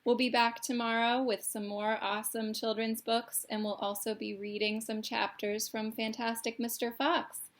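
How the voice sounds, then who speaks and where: A woman's voice: on the high side, little pitch movement, moderate pace. A woman hosting a children's storytime, reading aloud expressively in simple phrases for young listeners.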